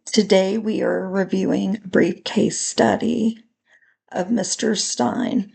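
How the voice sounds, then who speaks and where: A woman with a raspy voice from a recent illness, slowly reading through a clinical case study presentation with careful small restarts. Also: medium pitch, steady tone, a little mellow, poor audio.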